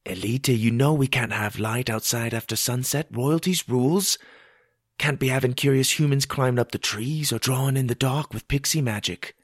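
A man's voice: mid-range voice, normal speed, breathy edge, no room sound, lightly acted. A weary fairy father from a storybook, read by a man, patient and reasoning. His voice sits mid-range and sounds close and dry.

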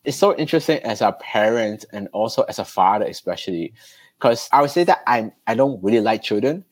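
A man's voice: fairly low pitch, a little fast, dry recording. A man, chatting loosely as a podcast guest. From Hong Kong, raised in Canada. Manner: relaxed, loose, with fillers and restarts. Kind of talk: conversational storytelling.

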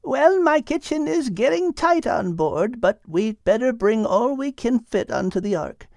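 A man's voice: very high voice, lively intonation, a little slow, creaky, darkish tone, dry recording, clean studio sound, voice pushed up, full character voice. A man voicing a practical, busy mother in a storybook reading, matter-of-fact and motherly.

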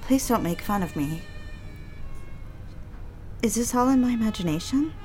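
A woman's voice: fairly high pitch, expressive, a little mellow, natural voice. Woman playing an anxious, eager young secretary, music behind. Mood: flustered, hurt. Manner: halting, flustered, apologetic and deferential. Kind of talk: period office audio drama acting.

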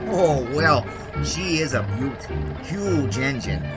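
A gabby American cabbie from the 1920s, his voice pitched on the high side. He is a folksy, slangy baseball lover who spins rambling yarns in a radio-play style, with music clearly behind him. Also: lively intonation, slow. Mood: excited storytelling. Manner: colloquial, slangy, rambling storyteller. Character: talkative 1920s Chicago cabbie, folksy baseball-loving yarn spinner. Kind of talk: audio drama acting.

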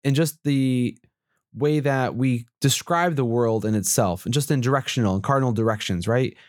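A man's voice: mid-range voice, moderate pace, a little mellow, dry recording, clean studio sound. A man congested from allergies, co-hosting a casual discussion and musing as he corrects himself. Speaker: podcast co-host.

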